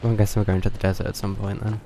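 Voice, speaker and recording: A man's voice: on the low side, flat delivery, very fast, dark tone, a little hiss or hum. A man casually commentating on his live gameplay, thinking aloud in a musing, rambling way. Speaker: video game streamer.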